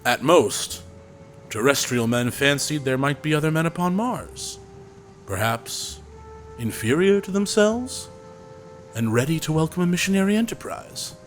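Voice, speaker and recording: An actor playing a young theatre director who reads a novel aloud with slow, dramatic delivery in an audio drama. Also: male voice, on the high side, expressive, faint music behind.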